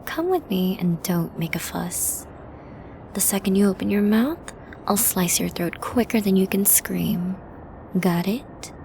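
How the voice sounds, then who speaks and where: A woman's voice: medium pitch, lively intonation, measured pace, creaky, a little mellow, slight background noise, low-quality recording. A woman playing a flirty, dominant villain who taunts a hostage in an ASMR roleplay, teasing and menacing, often dropping toward a whisper. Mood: menacing, hushed. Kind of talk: ASMR roleplay audio drama.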